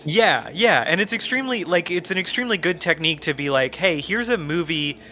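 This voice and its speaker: A man's voice: a little high, expressive, fairly quick, sharp tone, a little hiss or hum. A man casually chatting on his gameplay stream, wry and laughing, reading viewer comments aloud. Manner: wry, reads chat messages aloud.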